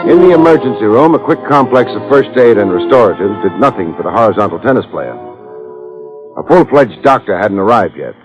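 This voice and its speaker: An actor playing a wry, tough private detective in a 1950s radio drama, sardonic and slangy in both narration and dialogue. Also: male voice, on the low side, sing-song, fairly quick. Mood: dry narrating. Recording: muffled old radio recording with music.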